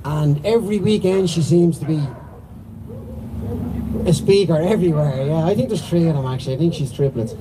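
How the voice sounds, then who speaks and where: A man's voice: fairly high pitch, fairly quick, background noise. A man acting as compere at a rally, introducing speakers in a loose, chatty way. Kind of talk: casual announcing.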